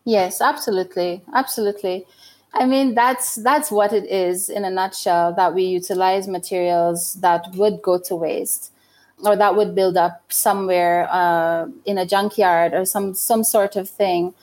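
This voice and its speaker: A woman's voice: medium pitch, steady tone, unhurried, a little mellow. A middle-aged woman from Guyana giving thoughtful, explanatory interview answers in a conversational style.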